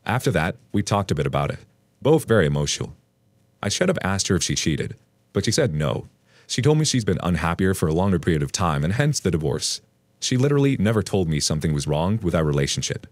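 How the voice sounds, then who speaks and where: A man reading an online post aloud as a storytelling narrator, in an expressive read. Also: sits low, fast talker, no echo.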